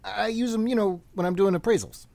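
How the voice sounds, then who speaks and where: A woman voicing a glib, defensive younger brother who deals in antiques, husky, in a radio play. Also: mid-range voice, a little fast, mellow, lightly acted. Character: cocky, defensive kid brother, shady antiques dealer. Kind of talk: live radio play acting.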